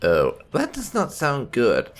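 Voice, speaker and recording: A man's voice: medium pitch, huge pitch swings, slow pace. A man doing roleplay commentary over a video game, musing and replying in character. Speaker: gaming YouTuber playing in character. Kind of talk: roleplay let's play commentary.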